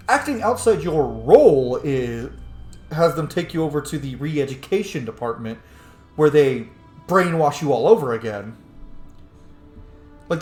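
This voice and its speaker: Gaming host: casual, opinionated, sweary commentary, very animated intonation, roomy echo. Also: mid-range voice. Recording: echoey room, faint game music.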